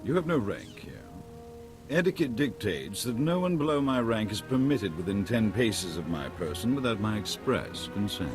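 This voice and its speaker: A man's voice: on the low side, sing-song, normal speed. A self-important male noble in a video game. He is officious and formal, his mid-range voice rising and falling dramatically over prominent music. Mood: imperious. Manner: pompous, formal. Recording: prominent background music under the voice.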